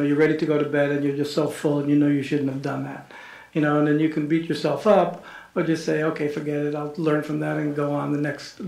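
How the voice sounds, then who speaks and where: A man on a panel offering gentle, reassuring advice. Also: a little high, creaky. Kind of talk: panel discussion. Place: lecture room with audience. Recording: echoey room.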